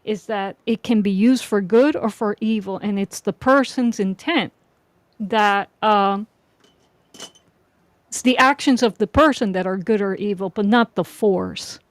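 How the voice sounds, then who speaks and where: A woman talking reflectively in a casual discussion, measured and deliberate, pausing between phrases. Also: mid-range voice, no room sound.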